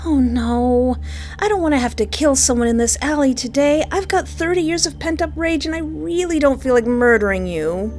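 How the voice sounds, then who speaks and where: A woman's voice: high-pitched, moderate pace, a little mellow. A woman gaming host reading a visual novel aloud and reacting with playful, folksy exclamations. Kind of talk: animated let's play commentary with dramatic read-aloud. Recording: clear background music. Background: music.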